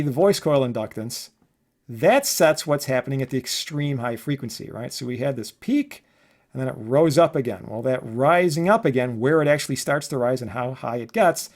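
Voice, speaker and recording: Male professor, mid-pitched voice, conversational lecture delivery with frequent check-in tags. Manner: conversational, often adding check words like "right?" and "okay?". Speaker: electronics professor giving a lesson. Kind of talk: informal lecture explaining equations.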